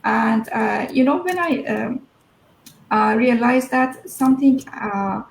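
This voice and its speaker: A woman's voice: a little high, slow, gravelly, echoey room, slight background noise, rough recording. A woman, telling her personal story as an interview guest, hesitant and full of fillers. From Iran.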